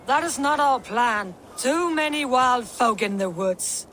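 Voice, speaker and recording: A scornful Viking boss woman, her voice bright and forceful, speaks slowly and curtly. She commands like a hard game character, with faint outdoor noise around her. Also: on the high side, expressive. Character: gruff, bossy Norse female camp overseer. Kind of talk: video game voice acting.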